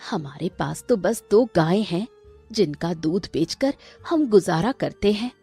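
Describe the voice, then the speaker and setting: A woman playing a gentle, respectful poor village wife in a cartoon, speaking softly. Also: lowish voice, animated, measured pace, breathy edge, soft background music, heavily acted. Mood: sympathetic, resigned. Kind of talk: acted Hindi cartoon dialogue.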